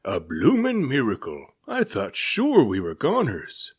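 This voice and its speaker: A man's voice: medium pitch, wildly swooping pitch, a little slow, breathy edge, pitched above the performer's own voice, full character voice. A man voicing a blustering, dramatic sailing-ship captain for a children's storybook, with salty, exclaiming sailor talk. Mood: amazed relief.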